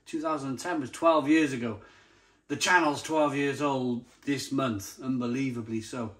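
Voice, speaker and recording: A man presents an informal tasting review in a medium-pitched voice. He speaks off the cuff, straight to the viewer, with open enthusiasm. He likes to linger on descriptive words and repeat them as he savours what he is describing. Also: normal speed. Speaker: beer review channel host. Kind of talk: casual unscripted tasting review to camera.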